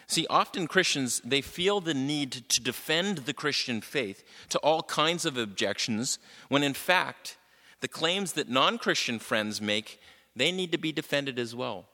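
A man leading a church class with a loud voice, talking loosely with many fillers and putting questions to the room. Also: medium pitch, long reverb tail. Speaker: class leader.

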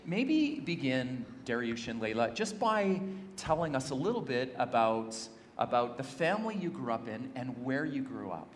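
A man's voice: medium pitch, sing-song, normal speed, slight background noise. A man who is a pastor, introducing guests and interviewing them on a church stage, often repeating words as he goes. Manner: warm, hesitant, repeats words. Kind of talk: sermon-style stage interview. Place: large church hall. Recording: echoey church hall.

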